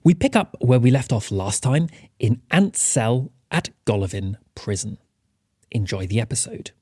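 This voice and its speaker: A man's voice: medium pitch, expressive, a little slow, dark tone, breathy edge, no room sound, studio quality. A man hosting a podcast, reading a playful scripted introduction to listeners. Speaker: podcast host.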